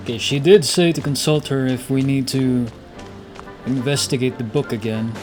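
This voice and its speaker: A man's voice: mid-range voice, fairly even intonation, moderate pace. Man musing casually over game music. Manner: musing aloud, conversational. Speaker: game streamer. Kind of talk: casual gameplay commentary.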